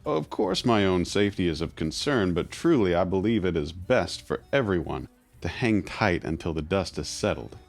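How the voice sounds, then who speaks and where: Male actor as smooth, guarded fixer: very low voice, formal and condescending, audio drama with music underneath. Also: animated, normal speed. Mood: grave, guarded. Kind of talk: scripted audio drama acting.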